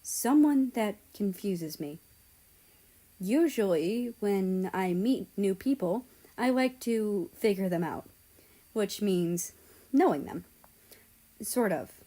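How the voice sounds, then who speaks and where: A woman narrating a scripted first-person story in a measured, deliberate way. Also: mid-range voice, expressive, mellow. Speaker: audiobook-style reader of fan fiction.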